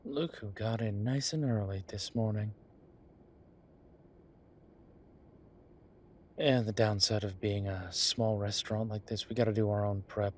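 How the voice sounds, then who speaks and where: A man playing an easygoing, devoted boyfriend who is a small-town chef, talking casually and haltingly in an intimate, reassuring roleplay audio. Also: fairly low pitch, steady tone, a little hiss or hum, lightly acted. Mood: casual, wry. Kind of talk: intimate conversational roleplay acting.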